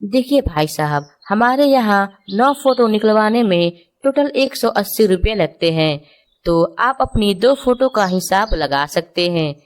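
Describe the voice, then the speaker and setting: A woman's voice: mid-range voice, animated, a little slow, natural voice. A woman voicing a courteous male photo studio shopkeeper, businesslike and explanatory, in a children's cartoon. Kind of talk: Hindi children's cartoon story.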